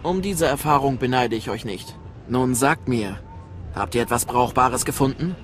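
An actor plays a scheming male dark agent in a video game. His mid-range voice is clipped and sardonic, used to giving orders. A faint ambient noise lies behind it. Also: mid-range voice, lively intonation, normal speed, natural voice. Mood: sardonic, probing. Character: shrewd, scheming adult male Sith agent. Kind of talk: dramatic game voice acting.

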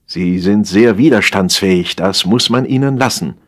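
A man's voice: sits low, moderate pace. A man voicing a practical, resigned and exasperated wife in a dry, declarative manner, in an audiobook satire. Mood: dryly admiring.

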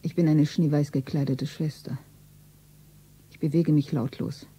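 Low, soft-toned nurse. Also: female voice, steady tone, measured pace, breathy edge, exaggerated voice, poor audio. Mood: detached. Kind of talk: stylized radio drama.

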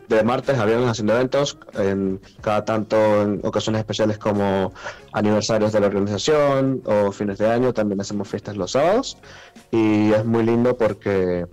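Lower-pitched man on a phone interview. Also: a little mellow. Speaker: interview guest, event organiser. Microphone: telephone call. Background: music.

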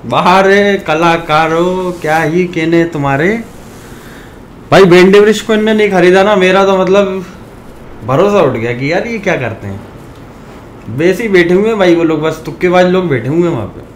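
Hoarse sick Indian man chatting fast. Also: high-pitched, slight background noise. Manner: chatty, addresses viewers as brothers, animated rants. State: sick with a sore throat. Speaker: fantasy cricket YouTuber. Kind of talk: casual talk to viewers.

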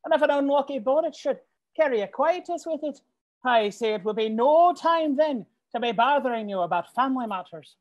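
A woman's voice: high-pitched, animated, fairly quick, a little mellow. A woman voicing a cheerfully morbid male knight eager for a duel in a stage play. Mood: genial.

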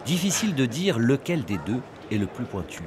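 A man's voice: medium pitch, big pitch swings, normal speed. A man reading scripted documentary narration as a voice-over. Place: voice-over over location sound. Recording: faint background noise under voice-over.

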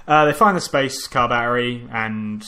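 A man's voice: medium pitch, normal speed, bright, forceful tone. A man co-hosting a podcast in casual, mocking chat, swearing freely and recapping with sarcastic mock drama. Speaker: podcast co-host.

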